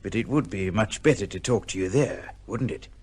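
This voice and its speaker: Sly, husky blackmailer. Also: male voice, fairly low pitch, moderate pace, a little mellow. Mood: sly, menacing. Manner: insinuating, mock-deferential, taunting. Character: sly, menacing male blackmailer, insinuating and mock-polite. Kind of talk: old-time radio drama acting.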